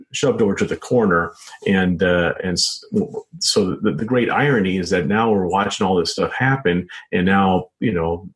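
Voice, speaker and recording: A middle-aged or older man answering as an interview guest, speaking conversationally and haltingly, often repeating words and saying "you know". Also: deep voice, rough recording. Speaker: interview guest, journalist and author.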